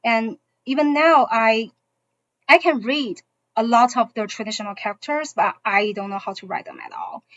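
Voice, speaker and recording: A woman from China, a native Mandarin speaker with a Mandarin accent, explaining a school program to parents as a teacher in halting English. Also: fairly high pitch, a bit bright. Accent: Mandarin Chinese. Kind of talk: informational presentation to parents. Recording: dull, muffled online-session audio.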